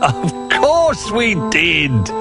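A man voicing a kindly old toy bear who speaks in a gentle, reassuring way. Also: sits high, wildly swooping pitch, very slow, gravelly, pitched above the performer's own voice, heavily acted. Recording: music playing underneath.

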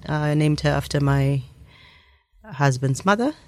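A woman's voice: low voice, expressive, measured pace. A woman in her thirties, originally from Kenya, thoughtfully telling her story in a casual interview with frequent ums. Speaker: interview guest.

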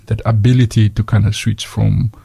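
A man with a Fijian accent hosting a community radio chat, halting with many fillers and slipping into Fijian phrases. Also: fairly low pitch, level tone, gravelly, mellow, slightly rough, dry recording. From Fiji. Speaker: radio host.

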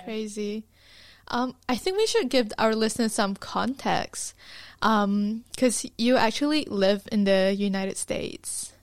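A woman's voice: medium pitch, lively intonation, no echo. A woman hosting a podcast, asking questions in an encouraging, easygoing way and laughing along. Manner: slow, encouraging, quick to laugh. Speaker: podcast host. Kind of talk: casual interview chat.

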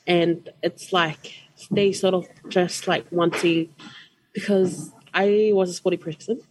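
A woman's voice: lowish voice, fairly even intonation, takes it slowly, a little mellow, a little hiss or hum, rough recording. A young woman student guest, chatty and rambling, full of likes and ums. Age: young adult. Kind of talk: casual radio chat.

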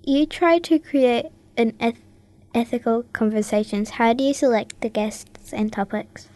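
A woman's voice: a little high, a little slow, darkish tone. A woman hosting a radio talent show, carefully reading out scripted introductions and prepared interview questions.

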